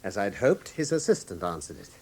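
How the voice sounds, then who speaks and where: An actor in a British radio drama playing a coolly analytical gentleman detective with an English accent and a precise, assured manner. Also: fairly low pitch, big pitch swings, a little fast, slightly rough. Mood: explanatory, assured.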